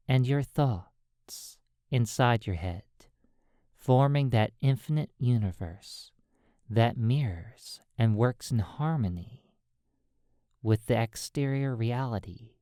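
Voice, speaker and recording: Slow, measured meditation guide. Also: mid-range voice, warm tone, dry recording, clean studio sound. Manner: measured, deliberate short phrases with long pauses.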